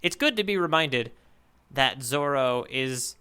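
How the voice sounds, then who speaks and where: A man's voice: medium pitch, expressive, measured pace, bright, forceful tone, clear, resonant tone. A man co-hosting a podcast, chatting casually in a thoughtful, analytical way. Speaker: podcast co-host. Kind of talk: casual manga discussion chat.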